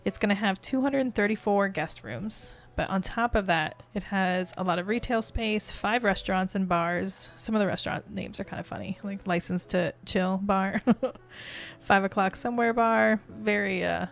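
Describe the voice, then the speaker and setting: A woman's voice: mid-range voice, moderate pace, creaky. A woman co-hosting a podcast in a casual, chatty style. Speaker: podcast co-host. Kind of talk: casual chat.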